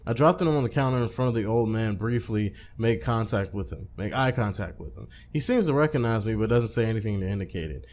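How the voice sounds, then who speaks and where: A man's voice: on the low side, animated, quick pace, hardly any pauses, darkish tone, a little hiss or hum. A man hosting a let's play, reading a visual novel aloud conversationally, with casual asides and stumbled, repeated phrases. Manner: conversational, stumbles and rereads lines.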